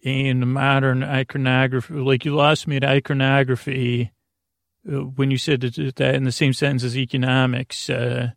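Gravelly, rambling American man, bedtime podcast host. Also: medium pitch, little pitch movement, no room sound, clean studio sound. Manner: meandering, halting, stumbles and trails off mid-thought. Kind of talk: rambling bedtime monologue to help listeners sleep.

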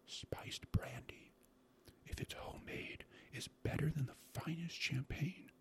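A man voicing a fussy, gluttonous court secretary in a storybook reading, coaxing and savoring each word with a breathy, stammering delivery. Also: medium pitch, big pitch swings, normal speed, dark tone, pitched above the performer's own voice, full character voice. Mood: dreamy, hushed. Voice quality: breathy, often dropping to a whisper. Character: fussy, gluttonous male court secretary, rapturous about food.

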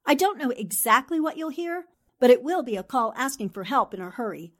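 A woman playing a brisk, determined newspaperwoman sleuth in a 1940s-style radio drama, with a commanding manner. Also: sits high, animated, a bit bright. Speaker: actor in an amateur radio drama re-creation.

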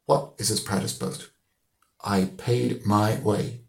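A man's voice: on the low side, steady tone, slow pace, creaky, roomy sound. A man voicing a pompous, opinionated old schoolmaster in an audiobook, lecturing emphatically with rhetorical questions. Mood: proud, emphatic. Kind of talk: audiobook character voice.

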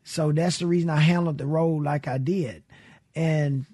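A middle-aged man from with a raspy voice, telling stories in a relaxed radio interview. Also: on the high side. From Mississippi. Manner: relaxed storytelling with Southern grammar and drawn-out pauses. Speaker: guest blues musician.

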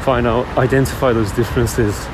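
A middle-aged man leaving a casual voice message for a podcast while on the move, hesitant and thinking aloud with many pauses. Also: medium pitch, steady tone. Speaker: podcast caller. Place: on the way to work. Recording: voice message with loud travel background noise.

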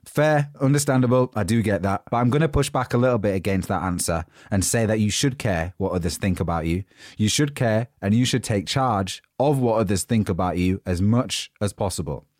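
A man hosting a motivational podcast, a bit croaky from a cold, talking in an informal, chatty, unscripted way. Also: lowish voice, a little mellow, no room sound, clean studio sound. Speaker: podcast host. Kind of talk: motivational talk.

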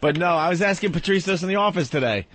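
A man's voice: high-pitched, a little hiss or hum. A man hosting a radio show, chatting casually and laughing with his co-host and guest. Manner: relaxed, laughing, quick asides.

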